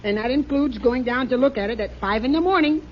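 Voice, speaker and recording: A man playing an excitable, bumbling suburban husband in a 1950s radio comedy, chuckling and hesitating. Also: very high-pitched, quick pace. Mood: playful, gleeful. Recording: dull, noisy old radio recording.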